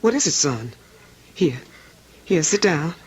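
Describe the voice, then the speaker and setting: An actress playing a protective, anxious older country mother with a husky voice and pleading, folksy manner in a radio drama. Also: low-pitched, very animated, a little slow, exaggerated voice. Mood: startled concern.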